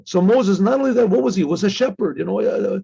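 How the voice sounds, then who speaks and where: A man's voice: sits high, fast talker, dark tone, muffled recording. A man teaching an informal religious lesson as a rabbi, reading Hebrew text and translating it conversationally, repeating phrases as he goes. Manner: conversational, acts out dialogue, repeats phrases, frequent throat clearing.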